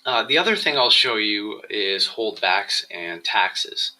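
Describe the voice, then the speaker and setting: A man presenting a software tutorial, explaining each step in a measured, instructional screencast style. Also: lowish voice, expressive, low-quality recording.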